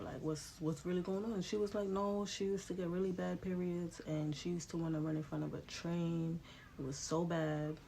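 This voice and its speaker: A woman's voice: sits low, a little mellow, rough recording. A woman in her late twenties talks straight to the camera in a loose, chatty vlog, her voice stuffy and congested from a cold. She swears freely and keeps checking in with the viewer. A faint hiss of background noise sits under her voice. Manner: candid and chatty, swears freely, asks the viewer "you know?". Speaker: vlogger. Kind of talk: casual storytelling vlog. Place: home room with a TV playing.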